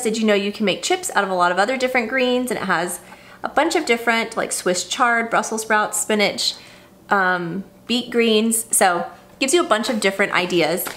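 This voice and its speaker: A woman's voice: on the high side, lively intonation, normal speed, a bit bright. A woman in her thirties chatting through an unboxing in her home kitchen, upbeat and gushing over each item. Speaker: vlogger. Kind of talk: casual unboxing chat.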